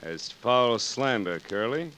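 Pompous, resonant boozy frontier doctor. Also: male voice, medium pitch, animated, slow pace, fairly bright tone. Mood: indignant. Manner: grandiloquent, theatrical. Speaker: actor in a radio drama. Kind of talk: 1940s radio acting, western.

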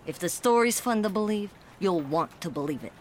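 A woman playing a skeptical, brisk police detective in a scripted noir audio drama, deadpan and teasing. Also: fairly low pitch, a little hiss or hum, lightly acted. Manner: deadpan, matter-of-fact, teasing.

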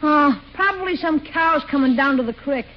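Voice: sits high, normal speed, natural voice. A performer playing a bossy, wisecracking young boy in 1940s radio comedy, brash and slangy. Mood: brisk. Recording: muffled, hissy 1940s radio recording.

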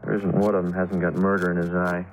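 A man's voice: low voice, little pitch movement, fast, highs cut off, close to an everyday voice. An actor playing an affable but embittered studio engineer in his thirties in a 1950s radio drama, talking casually and confidingly. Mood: resentful, confiding. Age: 30s.

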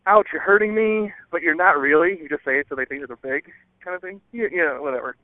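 Guy leaving a rambly voicemail on a thin phone line, lots of ums, voice a bit high. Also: a crowd in the background. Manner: hesitant, with many ums and pauses. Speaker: listener calling in. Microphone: telephone. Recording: narrow, dry phone-line sound.

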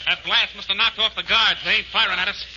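Man acting a fast-talking tough gang boss. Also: high voice, fairly even intonation, lightly acted. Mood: urgent, excited. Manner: slangy, barking orders. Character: tough male gang boss, brisk and commanding. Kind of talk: 1940s radio acting. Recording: very noisy, dull old radio recording.

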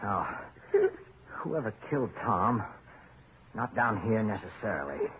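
On a tinny, hissy old radio recording, an American husband on edge in a horror play. He is curt and short-tempered, his pitch swinging widely as he snaps in the agitated manner of 1940s radio acting. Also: expressive, measured pace. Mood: frantic, defensive. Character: tense, short-tempered husband turning panicked underground.

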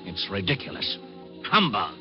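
An actor playing a cynical, slangy old-radio miser with an American accent and a gruff, easily flustered manner. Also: male voice, lowish voice, huge pitch swings, normal speed, music in the background. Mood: grumpy, dismissive. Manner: gruff, slangy, flustered exclamations when startled. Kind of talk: 1950s radio drama acting. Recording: muffled old radio broadcast.

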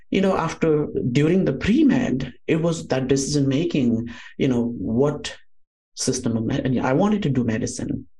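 A man's voice: medium pitch, vocal fry, darkish tone. A man with a Nepali accent speaks in a mid-range voice as a podcast guest. He tells his story conversationally, wandering from thought to thought with frequent filler phrases. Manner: rambling, peppered with "you know". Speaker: podcast guest, Ayurvedic doctor.

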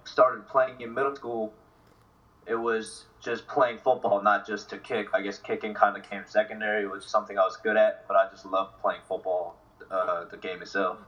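Distant-sounding young man, lowish voice. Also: level tone, moderate pace, fairly bright tone, lo-fi sound. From South Korea, then New Jersey from sixth grade. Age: 20s. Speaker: interview guest, football kicker. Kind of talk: casual interview answers. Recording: voice distant from the mic.

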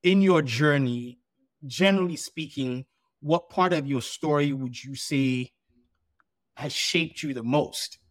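A man's voice: fairly high pitch, slow, no echo. Rambling conversational male podcast host. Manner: rambling, conversational, builds long questions. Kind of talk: podcast interview.

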